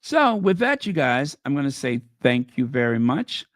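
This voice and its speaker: A man's voice: mid-range voice, very animated, normal speed, no room sound, studio quality. A man hosting a casual livestream chat, losing his voice and making sarcastic, mocking asides. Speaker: livestream host.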